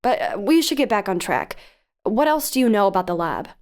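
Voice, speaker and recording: A woman's voice: medium pitch, sing-song, brisk, close to an everyday voice. An actress playing a protective, insistent female investigative podcaster in an audio drama, questioning firmly and earnestly. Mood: probing, suspicious. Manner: probing, firm, earnest. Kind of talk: audio drama phone dialogue.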